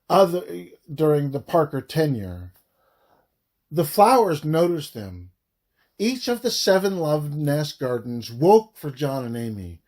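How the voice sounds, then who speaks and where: A man reading a scripted audiobook narration in a deliberate way, phrase by phrase with frequent pauses. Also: a little high, darkish tone. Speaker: author reading own audiobook.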